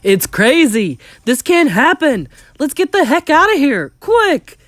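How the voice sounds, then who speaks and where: A woman voicing panicked diners, yelling in frantic alarm in a storybook reading. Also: high-pitched, very animated, measured pace, no echo, voice pushed up.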